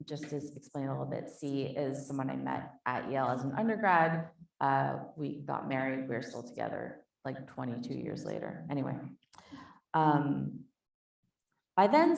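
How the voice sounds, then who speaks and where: A woman author in a panel conversation, thoughtful and soft-spoken, reading from her book and talking about it. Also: a bass voice, normal speed, gravelly, recorded from a distance. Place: conference hall. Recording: echoey hall, voice from off mic.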